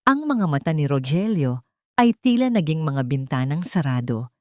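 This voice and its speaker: A woman's voice: low-pitched, sing-song, moderate pace, dark tone, dry recording, clean studio sound. A woman narrator reading a scripted dramatic story in a solemn, suspenseful manner.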